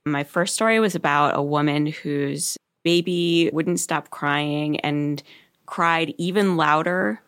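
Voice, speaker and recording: A middle-aged woman with a deep voice, talking thoughtfully in a conversational interview and laughing easily. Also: slow pace, a bit bright. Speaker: podcast host, guest.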